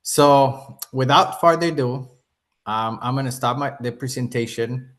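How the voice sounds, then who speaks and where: A man's voice: medium pitch, unhurried, a bit bright. A man from Mexico with a Mexican accent, giving an earnest hotel sales presentation on a video call webinar.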